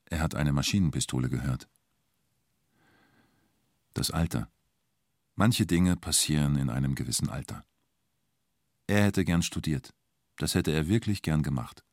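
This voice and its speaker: Ein Schauspieler spielt in einem Hörspiel einen nüchternen, sachlich formulierenden Erzähler und Vernehmer. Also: male voice, a bass voice, expressive, measured pace, long pauses, dry recording, clean studio sound, heavily acted. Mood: sober, reflective. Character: sober male narrator and formal police interrogator. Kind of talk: scripted radio drama narration.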